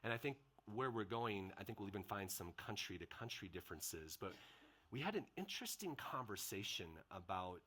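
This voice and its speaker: A man's voice: fairly low pitch, sing-song, brisk, pushed and bright, slightly rough. A middle-aged man moderating a panel discussion in a conversational way, asking questions.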